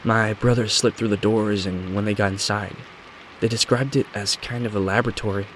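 A man's voice: fairly low pitch, a little fast, lightly acted, low-quality recording. A man voicing a determined young woman who explains things matter-of-factly in a scripted mystery story. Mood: intent. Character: determined young woman recounting her brothers' investigation. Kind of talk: scripted audio story dialogue. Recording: steady rain noise behind voice.

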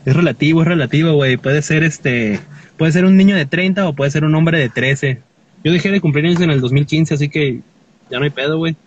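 A man's voice: a little high, fairly quick, lo-fi sound, a little hiss or hum. A Mexican man in his twenties, a guest chatting casually in a joking, slangy, rambling way. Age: 20s. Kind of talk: casual chat.

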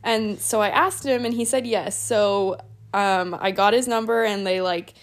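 Soft-spoken supportive young woman. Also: mid-range voice, a little slow. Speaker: podcast co-host. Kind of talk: casual chat, storytelling.

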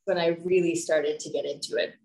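A woman's voice: sits low, steady tone, fairly quick, vocal fry, a little mellow. A young woman giving casual, chatty interview answers peppered with "like". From United States and Pakistan. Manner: informal, chatty, frequent "like". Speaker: podcast guest, nonprofit founder. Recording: roomy, echoey sound.

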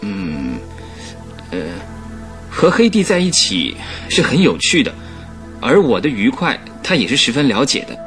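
Pompous, affected young aristocrat. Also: male voice, medium pitch, wildly swooping pitch, measured pace, pauses often, fairly bright tone, faint music behind. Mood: earnest. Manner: affected, pompous, easily flustered. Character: vain, pompous young English aristocrat, good-natured underneath. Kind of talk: Chinese radio drama acting.

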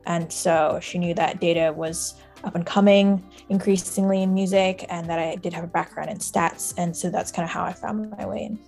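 A woman's voice: on the low side, steady tone, normal speed, creaky, hardly any pauses, a little mellow, soft background music. A young woman from Singapore and Shanghai speaking casually as an interview guest. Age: young adult. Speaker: podcast guest, music data analyst.

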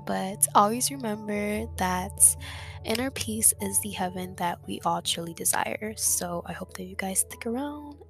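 A young woman hosting her own podcast, talking in a soft, reflective way and repeating words for emphasis. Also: mid-range voice, unhurried, vocal fry. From Dominican family background. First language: English. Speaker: podcast host. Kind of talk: casual solo podcast monologue. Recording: music playing underneath.

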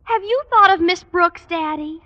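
A sweet high-voiced teenage girl. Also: moderate pace. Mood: earnest. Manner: earnest, gentle. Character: sweet earnest teenage girl, principal's daughter. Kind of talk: 1950s radio sitcom acting. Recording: muffled old radio broadcast with hiss.

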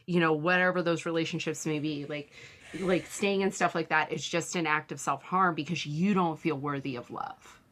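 Woman with a slightly low voice, articulate, informal, candid conversational delivery. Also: fairly even intonation, moderate pace, a bit bright, slight background noise. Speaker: comedian. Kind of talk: casual reflective conversation.

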